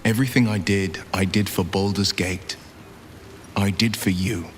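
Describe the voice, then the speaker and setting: A man's voice: low-pitched, steady tone, normal speed, slight background noise, close to an everyday voice. Man playing an earnest, noble fantasy hero. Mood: earnest, pleading. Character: earnest, noble adult son, heroic adventurer. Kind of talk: dramatic fantasy game acting.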